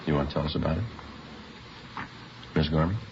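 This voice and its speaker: An actor playing a stern, matter-of-fact police detective in a vintage radio crime drama, clipped, deadpan and persistent. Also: male voice, a bass voice, brisk, vocal fry, a little hiss or hum. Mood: terse, businesslike. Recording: dull vintage radio recording with music.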